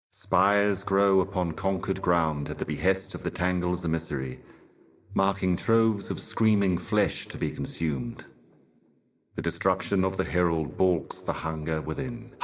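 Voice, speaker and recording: An actor playing a grave, cryptic otherworldly envoy in a video game, speaking in a formal, oracular manner. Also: male voice, deep voice. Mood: solemn, measured. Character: solemn, cryptic otherworldly male envoy of a cosmic mistress. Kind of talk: video game character voice lines.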